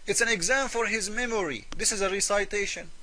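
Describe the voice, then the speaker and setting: A man's voice: high voice, brisk, bright, forceful tone, rough recording. A man whose first language is Arabic, speaking English as a second language while giving argumentative commentary. He talks emphatically and mockingly, firing rhetorical questions at the viewer. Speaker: religious debate commentator.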